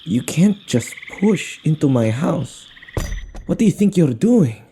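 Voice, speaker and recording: A man's voice: fairly high pitch, big pitch swings, a little slow, warm tone, a little hiss or hum. A man playing a grumpy, tired recluse woken at night, grumbling in exasperation in a fantasy audio roleplay. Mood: exasperated. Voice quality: gravelly.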